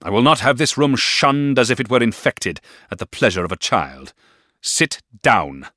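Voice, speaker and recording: A man's voice: sits low, expressive, normal speed, a bit bright, no echo, clean studio sound. A man reading a cold, stern stepfather. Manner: grave, clipped, commanding. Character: stern cold middle-aged stepfather, domineering. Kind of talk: audiobook reading of a classic novel.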